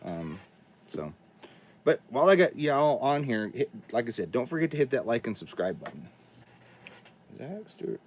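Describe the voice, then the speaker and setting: A man with a cold and a raspy, hoarse voice, rambling casually as he hosts a livestream from his home studio. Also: medium pitch, sing-song, moderate pace, long pauses, a little hiss or hum. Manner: rambling, chatty, talks to himself while setting up. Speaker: livestream host.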